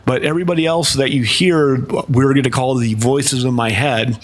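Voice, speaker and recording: Male streamer, casual rambling explainer, mid-pitch voice, lots of fillers. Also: fairly even intonation, moderate pace. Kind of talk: casual live-stream chat.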